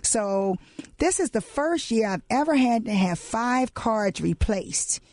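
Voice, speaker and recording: A woman's voice: a little high, lively intonation, takes it slowly, darkish tone, no room sound, clean studio sound. A woman in her forties co-hosting a radio talk show, emphatic, repeating key words and laughing often. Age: 40s. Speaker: radio co-host. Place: radio studio.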